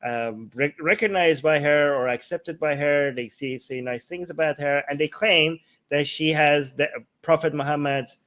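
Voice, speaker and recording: Guy with a slightly high voice talking through stuff casually, keeps checking you follow. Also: normal speed, hardly any pauses, a bit bright. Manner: informal, checks in with "okay" and "right". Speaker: talk show host. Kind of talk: casual explanatory discussion.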